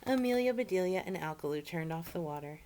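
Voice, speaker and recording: A woman reading a children's storybook aloud in an expressive, animated way, as a storyteller for children. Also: lowish voice, fast talker, slight background noise. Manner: expressive, acts out the dialogue.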